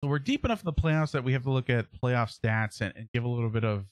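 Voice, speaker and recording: A man in his thirties with a mid-pitched voice talks through sports analysis as a podcast host. He is casual and halting, working out his thoughts aloud. The sound is close and dry, with little room in it. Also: no room sound. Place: small indoor room at home, on a livestream webcam.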